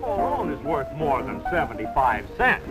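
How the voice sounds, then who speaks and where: An actor playing a brisk, coaxing American auctioneer at a social event in a 1950s film. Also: male voice, fairly high pitch, sing-song, over loud music, low-quality recording. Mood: upbeat, urging.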